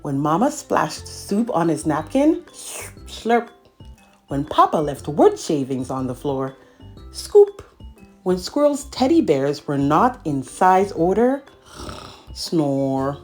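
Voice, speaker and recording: A woman reads a picture book aloud for young children. Her voice sits a little low but swings expressively through the lines, with asides to her listeners. Soft music plays behind her. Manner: animated, addressing child listeners. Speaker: storyteller reading a picture book.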